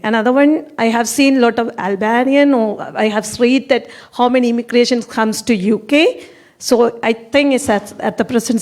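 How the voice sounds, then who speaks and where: A woman's voice: fairly high pitch, darkish tone. A woman from Sri Lanka, a Tamil speaker, earnestly giving an audience comment at a panel Q&A and searching for words. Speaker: audience member.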